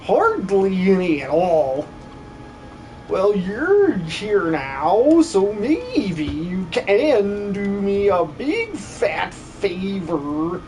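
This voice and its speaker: A man's voice: high-pitched, sing-song, extremely slow, a little mellow, soft background music, full character voice. A man voicing a flamboyant, doting tunnel dweller in an amateur game dub, with a camp, affectionate drawl. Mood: curious, coaxing. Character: flamboyant, theatrical, doting older sibling lost-tunnel dweller.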